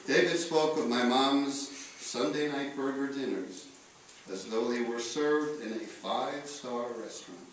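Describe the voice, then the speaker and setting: A man reading a eulogy aloud in a solemn style, with measured, deliberate phrasing and pauses. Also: medium pitch. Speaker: eulogist reading letters at a memorial. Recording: echoey room, distant mic, faint noise.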